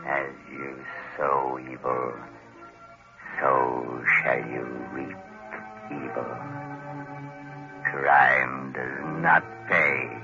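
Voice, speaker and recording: An actor playing a sinister, laughing male mystery avenger in an old radio drama, speaking slowly and ominously. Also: a bass voice, little pitch movement, heavily acted. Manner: slow, ominous, drawn-out delivery. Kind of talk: 1930s radio drama acting. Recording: dull lo-fi old radio recording with music.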